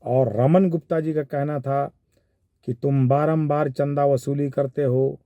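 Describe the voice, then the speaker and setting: A man with a Bihari accent giving informal spoken commentary in a measured, halting, explanatory way. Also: a little high, steady tone, no room sound, phone-quality sound. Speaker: commentator giving his analysis of a viral call.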